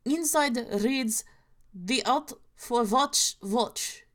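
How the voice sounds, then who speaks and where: Mock-Russian narrator put on by a woman, inaccurate accent, clipped broken grammar, deadpan, storyteller. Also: on the high side, drawn-out words. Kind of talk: reading parody horror stories aloud.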